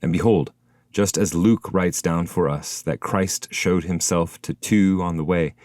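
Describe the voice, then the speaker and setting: A man narrates classic verse from a script in a low voice. The sound is dry, with very little room around it. He reads as a literary narrator. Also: steady tone, unhurried, a little mellow, no echo. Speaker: translator and narrator reading poetry.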